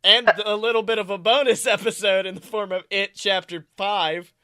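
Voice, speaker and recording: A podcast co-host with a bright, forceful voice at a middling pitch. The talk is casual and jokey, with easy laughter, recorded dry and close. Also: steady tone, normal speed, clear, resonant tone, no room sound. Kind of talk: casual comedic chat.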